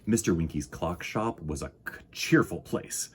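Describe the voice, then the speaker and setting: A man reading a children's picture book aloud in a theatrical, deliberate storytime style. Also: low-pitched, lively intonation, slight background noise. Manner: dramatic, deliberate, with sound effects and exclamations.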